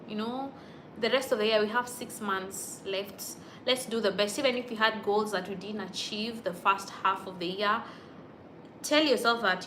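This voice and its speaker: A young woman chats casually into her phone on a livestream, replying to viewers' comments as they come in. Her voice sits at a middle pitch, with a faint hum of room noise behind her. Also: a bit bright, poor audio. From Kenya. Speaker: YouTube vlogger on a livestream.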